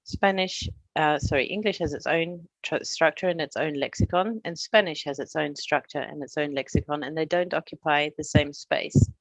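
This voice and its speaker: A middle-aged woman from Australia, a translator, giving a prepared presentation in a measured, explanatory way with touches of humor. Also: sits low, no room sound, low-quality recording.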